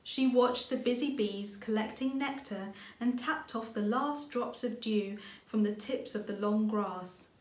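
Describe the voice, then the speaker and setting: A woman's voice: on the high side, measured pace, hardly any pauses. A middle-aged woman, a children's author, reading a storybook aloud as scripted narration indoors. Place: indoors, small room with bookshelves. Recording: echoey room sound.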